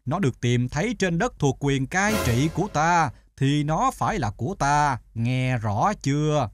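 A man voicing a greedy, bossy district mandarin in an animated folktale, haughty and commanding. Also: a little high, measured pace, faint music behind. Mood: domineering.

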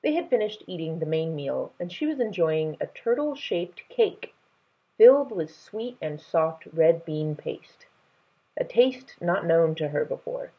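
A young woman reads a story aloud in a low, husky voice. Her delivery is lively and expressive. The sound is a little dull, like a webcam in a room at home. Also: measured pace. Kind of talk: expressive reading of a children's novel.

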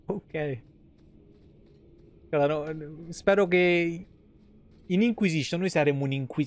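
A man, giving casual, joking, foul-mouthed live-stream commentary. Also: a little high. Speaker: video game streamer. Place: small home room, indoors.

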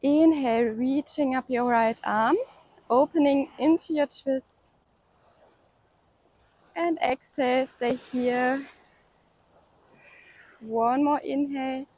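A woman leading a home yoga workout, calmly cueing breaths and poses and encouraging the listener to keep going. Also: sits high, slow, pauses often, no echo, muffled recording. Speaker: yoga instructor. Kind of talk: guided workout instruction.

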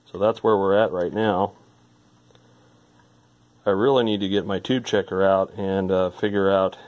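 A man with a fairly low voice talks through hands-on repair work at an indoor workbench. He sounds like a hobbyist, informal and musing aloud as he goes. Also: darkish tone. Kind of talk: casual hands-on repair commentary.

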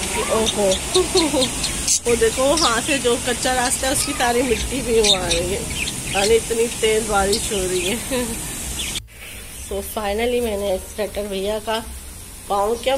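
Chatty woman with a sore throat. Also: fairly high pitch. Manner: chatty, laughs often, talks to the viewers. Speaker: vlogger. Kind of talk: casual home vlog chat. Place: apartment by a window, rain outside. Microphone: handheld phone camera. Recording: phone recording with loud rain noise.

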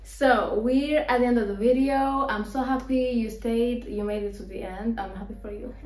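High-voiced playful young woman vlogging. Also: steady tone, normal speed, background noise. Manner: playful, laughs often, mixes languages. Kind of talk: casual vlog chat. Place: outdoors on a farm.

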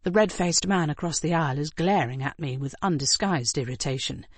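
A woman reading scripted first-person fiction as an audiobook narrator, in an expressive, dramatic way. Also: low voice, a little fast, slightly rough, no room sound.

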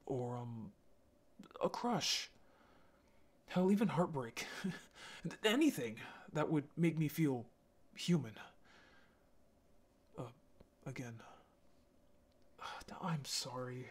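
A lonely young zombie man, acted by a man for an intimate audio roleplay. His voice sits at a middling pitch. He talks haltingly and apologetically, with stammers and sighs, in a gentle, hesitant manner. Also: lively intonation. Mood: awkward, hesitant, then apologetic, wistful.

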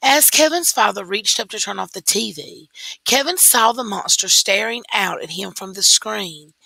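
A woman teacher reading a story aloud slowly and deliberately into a computer microphone. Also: medium pitch, expressive, creaky, bright, forceful tone, slightly rough, no echo, rough recording. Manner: slow, deliberate read-aloud.

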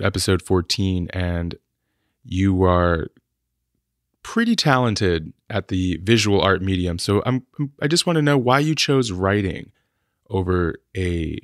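Deep-voiced podcast host, dry sound. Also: male voice, fairly bright tone, no echo. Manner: earnest, conversational questions. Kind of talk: podcast interview.

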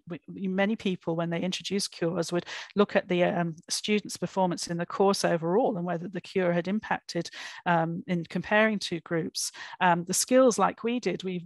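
A woman's voice: lowish voice, dry recording. A woman giving an online academic keynote over slides, thoughtful, with frequent fillers and restarts. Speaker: keynote speaker.

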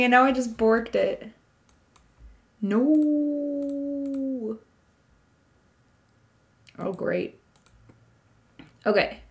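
A woman's voice: on the high side, a bit bright. A young woman streaming from her home room with a sore voice, chatting playfully with her viewers while she plays a game. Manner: chatty, playful, talks to chat. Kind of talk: casual live-stream chat while gaming. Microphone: desk mic set back.

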